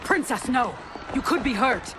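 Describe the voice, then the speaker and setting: A woman's voice: sits high, expressive, a little slow. A woman voicing a severe, protective bodyguard to royalty in a video game. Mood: alarmed. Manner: stern, protective. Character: fiercely loyal, severe female royal bodyguard. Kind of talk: dramatic video game cutscene acting. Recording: loud music under the voice.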